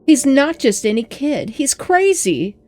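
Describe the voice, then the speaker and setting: A woman's voice: high voice, sing-song, a little slow, a little mellow. A woman playing a worried, pleading young assistant newspaper editor in an audio drama, speaking earnestly and insistently. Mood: alarmed, insistent. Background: music bed.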